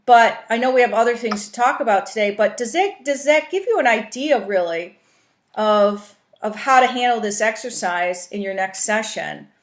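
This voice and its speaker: Female trainer, higher voice, informal explanatory talk, checks in often, roomy conference-call sound. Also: animated, moderate pace. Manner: explanatory, often checks in with "right?" and "you know". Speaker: trainer, facilitator teaching a coaching tool.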